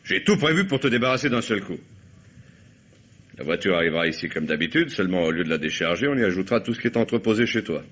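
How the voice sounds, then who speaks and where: An actor playing a suspicious, bossy gangster, blunt, slangy and impatient, in a radio crime drama. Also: male voice, deep voice, sing-song, quick pace, a bit bright, slight background noise. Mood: suspicious, wry. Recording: dull vintage radio recording.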